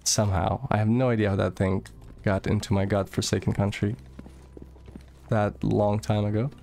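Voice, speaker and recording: A man's voice: on the low side, little pitch movement, normal speed, vocal fry, a little mellow, slight background noise. A young man from Moldova, chatting casually while streaming a game, swearing freely and reading chat aloud. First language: Russian.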